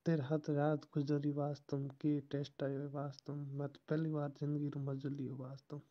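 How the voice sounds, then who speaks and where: Caller chatting in a flat, muffled voice. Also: mid-range voice, moderate pace. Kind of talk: casual private phone chat. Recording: dull lo-fi phone call recording.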